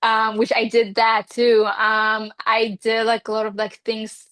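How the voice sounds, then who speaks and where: A woman's voice: on the high side, completely flat delivery, measured pace, a bit bright, no room sound. A woman in her twenties whose first language is Hebrew, a Reiki healer speaking in an interview in an earnest, rambling way with many fillers. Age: 20s. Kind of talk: casual interview talk.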